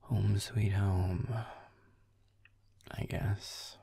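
A man playing a grumpy young werewolf boyfriend in a roleplay audio, muttering deadpan, sarcastic, sweary video game commentary in a breathy, gravelly half-whisper. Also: fairly low pitch, extremely slow, dry recording, exaggerated voice. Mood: muttering, unimpressed. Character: grumpy young male werewolf boyfriend, gamer, sulky and aloof.

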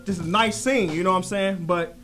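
American male rapper, thirties, high voice, casual slangy interview guest, light music in background. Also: a little fast, fairly bright tone. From Detroit, United States. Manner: slangy, addresses listener as 'man'. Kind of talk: casual chat. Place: radio studio with brick wall, indoors. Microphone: close studio microphone, headphones.

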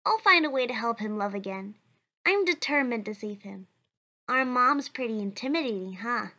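A woman's voice: fairly high pitch, lively intonation, moderate pace. A woman voicing a cheerful, earnest child who answers questions for a blog in a comic dub. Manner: bright, chatty, earnest.

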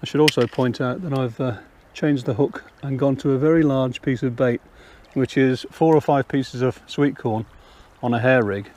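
A man's voice: mid-range voice, steady tone, moderate pace, hardly any pauses, a little mellow, slight background noise. An older man casually explaining fishing steps outdoors on a riverbank, talking step by step. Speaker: angler and channel host.

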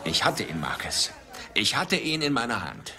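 An actor playing a driven, wry archaeology professor and adventurer in a dubbed film, dry and casual with hesitations. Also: male voice, mid-range voice, huge pitch swings, normal speed, over music. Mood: eager, urgent. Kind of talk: German film dubbing.

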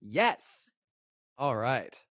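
A young American man, a sardonic summer camp counselor who loves a wisecrack. His delivery is slangy and laid-back, taking its time, with lively swings in pitch. The sound is close and dry. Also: extremely slow, no echo, full character voice. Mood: coaxing, upbeat. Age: young adult. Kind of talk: naturalistic video game acting.

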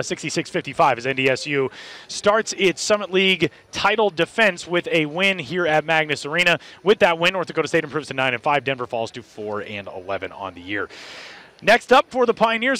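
A male sportscaster speaking quickly through a headset in an arena. His voice sits a bit high, and his delivery is polished and brisk, the way a play-by-play announcer wraps up a game. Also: a bit bright. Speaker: play-by-play broadcaster. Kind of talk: post-game broadcast interview and sign-off. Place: basketball arena, courtside.

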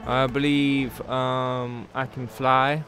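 A man's voice: mid-range voice, level tone, slow, fairly bright tone. A man commentating his own gameplay, joking and swearing, now and then putting on silly voices. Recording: game music underneath.

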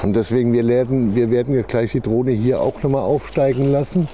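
A man's voice: mid-range voice, fairly even intonation, a little fast, mellow. A man chatting casually on location outdoors, explaining things, repeating himself and often checking for agreement. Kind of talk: casual on-location commentary in conversation. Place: outdoors in woodland on soft ground. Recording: outdoor field recording with background noise.

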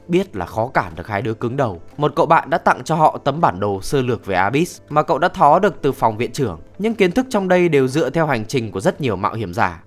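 A man narrating a scripted comedic recap in a slangy, tongue-in-cheek storytelling manner. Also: mid-range voice. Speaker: anime recap reviewer. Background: background music.

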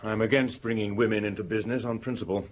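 An actor playing a defensive, smooth-talking salesman under suspicion in a radio drama, guarded in manner. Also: lowish voice, fairly even intonation, fast, very noisy, natural voice.

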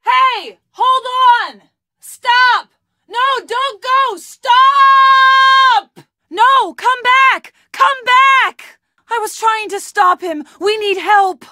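A woman's voice: very high-pitched, extremely slow, sharp tone, no echo, clean studio sound, heavily acted. An actress playing a spirited, earnest young woman in a video game, a loyal and anxious friend who is wry and plucky. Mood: frantic, alarmed.

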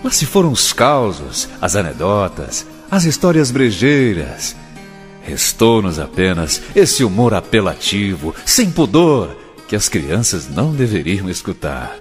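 A man's voice: on the low side, big pitch swings, measured pace, faint music behind. A man with a Brazilian accent giving theatrical scripted narration as an announcer. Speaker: announcer introducing comedians.

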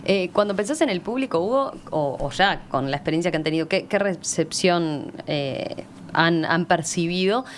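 A woman hosts a radio interview in a slightly low voice. She builds questions haltingly, searching for words, with noticeable background noise around her. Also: animated. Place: radio studio. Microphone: studio microphone.

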